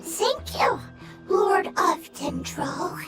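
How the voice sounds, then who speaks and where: Tiny halting high-pitched forest creature. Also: female voice, very animated, heavily acted. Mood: grateful. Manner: halting, clipped broken phrases. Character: small earnest elemental forest creature speaking broken phrases. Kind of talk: video game character acting. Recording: music playing loudly behind. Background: loud game music.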